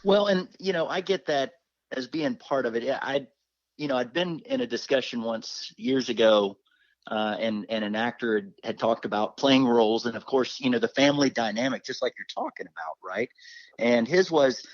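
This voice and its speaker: A man's voice: medium pitch, moderate pace, no echo, highs cut off. A man interviewing in a conversational, rambling and affirming way, often checking in mid-thought. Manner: rambling, affirming, often tags phrases with "right". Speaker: interviewer, co-host.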